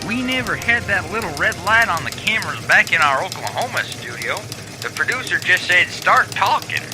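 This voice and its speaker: Man playing a high-voiced bumbling news anchor. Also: big pitch swings, normal speed, music in the background. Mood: flustered. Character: bumbling out-of-state stand-in male TV news anchor. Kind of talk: mock newscast comedy acting.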